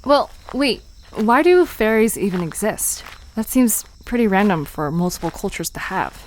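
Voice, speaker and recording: A woman's voice: mid-range voice, lively intonation, normal speed, a little hiss or hum, close to an everyday voice, low-quality recording. An actress playing a curious, playful young woman in an audio drama, inquisitive, teasing and a little skeptical. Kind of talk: conversational audio drama acting. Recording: music and crickets behind voice.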